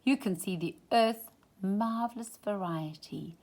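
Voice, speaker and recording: A woman reading a children's picture book aloud in an expressive, dramatic storytime style. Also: mid-range voice, unhurried. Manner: dramatic, drops into hushed whispers for suspense. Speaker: storytime reader.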